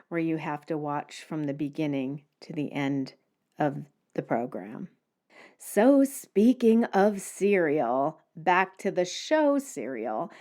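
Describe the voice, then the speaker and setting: A woman's voice: fairly low pitch, darkish tone. A woman hosting a solo podcast, explaining things in a conversational way. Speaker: podcast host.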